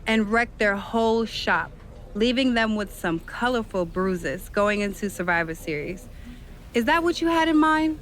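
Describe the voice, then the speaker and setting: A woman's voice: medium pitch, lively intonation, unhurried, a bit bright. Brash female wrestler, swaggering and combative, scripted game cutscene delivery. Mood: eager, cocky. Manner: cocky, swaggering. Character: brash, cocky female pro wrestler spoiling for a fight.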